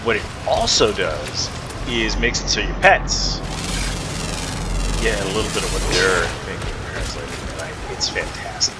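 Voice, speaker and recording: A man's voice: deep voice, expressive, a little slow. A man giving casual, rambling commentary while playing a video game, chatting away to the creatures in it. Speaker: gaming video host. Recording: loud background noise under voice.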